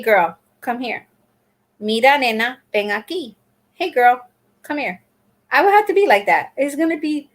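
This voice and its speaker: An older woman from Puerto Rico, the host of a crochet channel, chatting casually on a live stream in a rambling way with many fillers and restarts. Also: a little high.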